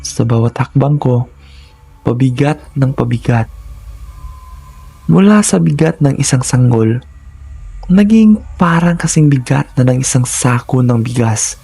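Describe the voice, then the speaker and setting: A man's voice: medium pitch, expressive, unhurried, gravelly, long pauses, warm tone, husky. A man narrating scripted first-person horror stories in a dramatic, suspenseful manner, in short phrases.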